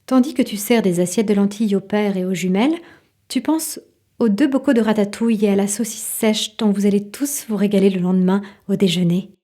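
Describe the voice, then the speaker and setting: A woman narrating a literary audio drama in an intimate, measured way, with a soft voice. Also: mid-range voice, natural voice. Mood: restrained. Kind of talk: literary second-person narration in audio fiction.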